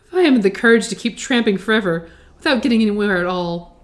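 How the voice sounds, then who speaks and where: A woman's voice: mid-range voice, lively intonation, quick pace, lightly acted. A woman voicing a timid, cowardly male lion with a whimpering manner in a storybook reading.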